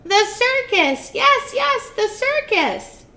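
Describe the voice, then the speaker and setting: A woman voicing a grouchy, hungry old female witch who screeches and shouts frantically. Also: very high voice, big pitch swings, takes it slowly, vocal fry, pitched above the performer's own voice, heavily acted. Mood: excited.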